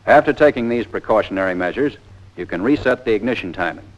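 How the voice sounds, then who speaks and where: A man's voice: lowish voice, animated, quick pace, lo-fi sound. An American man narrating a 1940s army training film in a scripted, plain-spoken, methodical instructional style.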